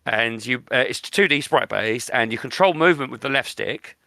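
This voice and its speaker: Gravelly, bright-voiced British man chatting. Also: mid-range voice, animated, moderate pace, dry recording. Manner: enthusiastic, repeats words for emphasis. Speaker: podcast host. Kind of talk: casual chat.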